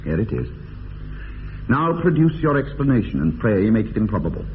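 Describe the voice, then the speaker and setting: Arch, teasing English gentleman, tinny radio sound. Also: lowish voice, big pitch swings, moderate pace. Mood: playful. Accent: English upper-class. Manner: arch, teasing, mock-earnest, polished phrasing. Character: idle, witty, teasing young upper-class English bachelor. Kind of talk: radio drama acting of period comedy. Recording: tinny band-limited radio, long reverb, hiss.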